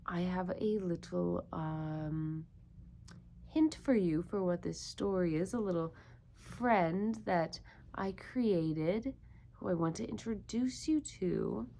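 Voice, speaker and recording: A woman's voice: on the low side, slow pace, gravelly, dark tone, a little hiss or hum. A woman doing an interactive storytime for young children, encouraging them with repeated questions. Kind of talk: interactive picture-book read-aloud for young children.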